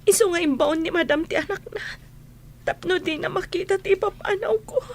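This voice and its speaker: A woman's voice: very high voice, fairly quick, a little hiss or hum. A woman with an Ilocano accent playing a meek, plaintive young housemaid in a radio drama. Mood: sorrowful. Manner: plaintive, tearful, submissive. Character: meek, pleading young housemaid being cast out.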